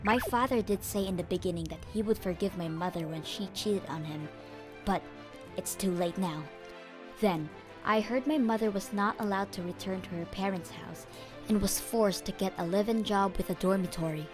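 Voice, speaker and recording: A woman's voice: medium pitch, normal speed, over music. A woman reading scripted story narration as the narrator of a comic dub.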